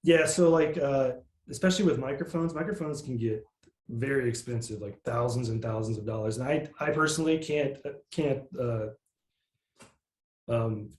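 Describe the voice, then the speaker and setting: A man with a nasal voice, a musician guest in a casual interview, talking in a relaxed, rambling way with plenty of fillers. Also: medium pitch, normal speed, roomy sound.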